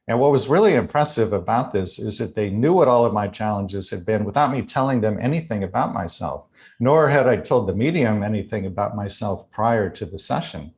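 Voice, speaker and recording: An American man giving an explanatory spiritual talk as a guest author, speaking in a measured, deliberate and articulate way. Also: on the low side. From United States.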